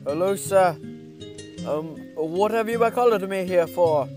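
A man putting on a squeaky fake-accented old mobster friend. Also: unhurried, music in the background. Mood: nervous eager. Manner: fake wobbly accent, overeager. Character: high-pitched nervous old male mafia friend.

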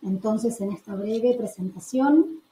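Argentine woman, forties, academic talk, mellow higher voice, echoey room. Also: measured pace. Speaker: geneticist giving a recorded talk. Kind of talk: prepared academic presentation. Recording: echoey room with faint noise.

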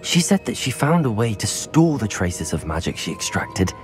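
A man's voice: lowish voice, sing-song, moderate pace, music in the background. An actor playing an earnest young wizard student who reports urgent news to his elders.

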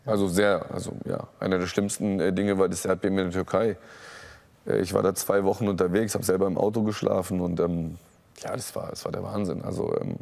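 A man, a talk show guest telling his story calmly on a lapel mic in a TV studio, halting with many fillers and restarts. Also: low-pitched, creaky. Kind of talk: candid personal account in a talk show. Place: TV studio set with audience.

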